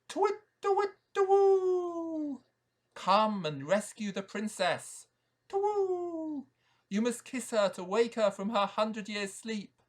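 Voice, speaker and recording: A man voicing an urgent storybook owl who gives insistent quest instructions with drawn-out hoots. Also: very high-pitched, big pitch swings, pitched above the performer's own voice, exaggerated voice.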